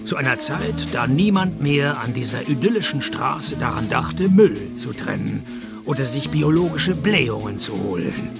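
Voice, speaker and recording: Wry deadpan private eye in a radio play, lively pitch swings, music behind him. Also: male voice, normal speed, vocal fry. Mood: dry narration. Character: dry, sardonic male private detective. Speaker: private detective narrator. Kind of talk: radio play narration and dialogue.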